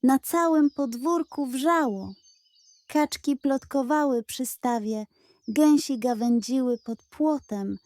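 A woman's voice: fairly high pitch, mellow, no echo. A woman narrating a scripted children's bedtime story as the storyteller.

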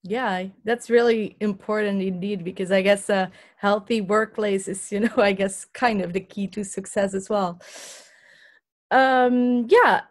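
A young woman from Belgium interviewing a musician over a video call, friendly and quick to laugh. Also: medium pitch, steady tone. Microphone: laptop webcam on video call.

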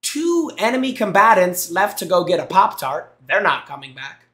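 A man's voice: high voice, big pitch swings, moderate pace, a bit bright, echoey room, a little hiss or hum. A young man streaming on a headset mic in a small room, reacting to gameplay clips with teasing jokes and thanking his chat. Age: young adult. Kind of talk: casual live-stream commentary.

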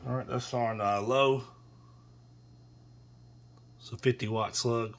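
A man's voice: medium pitch, steady tone, takes it slowly. A man giving a casual, rambling, matter-of-fact product demonstration, recorded on a phone in a small room. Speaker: seller demonstrating used radio equipment. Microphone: phone held near the radio. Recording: clear background noise, handheld phone.

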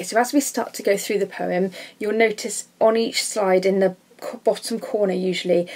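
Husky woman teacher explaining a lesson. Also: medium pitch, a little mellow. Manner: informal, explanatory. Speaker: English literature teacher.

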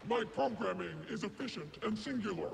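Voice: medium pitch, expressive, fairly quick, vocal fry, a little hiss or hum, rough recording. An actor voicing a rigid, obedient battle droid in a video game, clipped and emotionless, through a robot filter. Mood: stern, robotic. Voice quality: electronic, grating.

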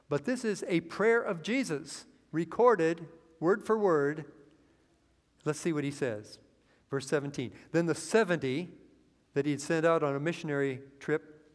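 A middle-aged man preaches from a church pulpit with a somewhat high voice. He talks earnestly and builds into excitement over scripture. A long reverb hangs in the sanctuary around him. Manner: earnest preaching that swells with excitement, lines read from the Bible. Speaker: pastor. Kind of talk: sermon.

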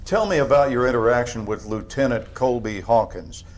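An actor as a dry, controlled government interrogator. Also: male voice, mid-range voice, natural voice. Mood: measured, probing. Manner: measured, dry, probing. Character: controlled, sardonic male government agent leading an interrogation. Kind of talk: naturalistic video game acting.

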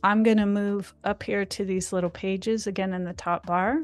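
A woman on a video call leads a live software walkthrough, chatty and eager. Faint music plays underneath. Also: mid-range voice, steady tone, normal speed, clean tone. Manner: chatty, enthusiastic. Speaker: guest designer demonstrating software. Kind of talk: live screen-share tutorial.

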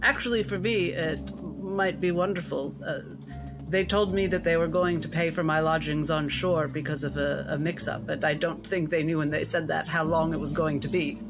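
A woman playing a courteous, wry female doctor who speaks politely and with gentle hesitation. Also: low-pitched, steady tone, normal speed, over music. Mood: grave, wry.

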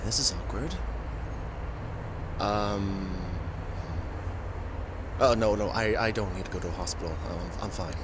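A man playing an injured, famous musician who is guarded, halting and sarcastic, in an audio roleplay spoken to the listener. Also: on the low side, background noise, full character voice. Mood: awkward, strained.

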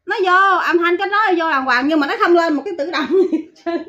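A woman's voice: very high-pitched, brisk, bright, forceful tone. A woman with a Southern Vietnamese accent, a customer explaining a problem in casual chat. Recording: dull lo-fi, music behind.

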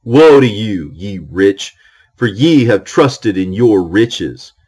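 A man reading ancient scripture aloud in a solemn, declamatory way, with measured phrasing and deliberate pauses. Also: lowish voice, expressive, darkish tone, husky. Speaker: audiobook reader of scripture.

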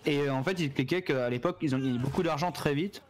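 A man's voice: on the high side, fast, faint music behind. A man from Poitiers, a guest on a stream, telling rambling anecdotes full of fillers. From Poitiers, France. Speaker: co-host guest. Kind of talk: casual chat. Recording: loud background noise.

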